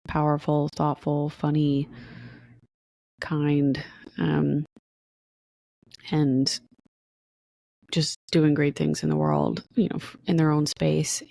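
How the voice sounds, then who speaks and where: A tired, hoarse woman hosting her podcast, chatting casually and rambling with fillers and restarts. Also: low-pitched, warm tone, no echo. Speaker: podcast host. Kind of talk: casual solo podcast chat.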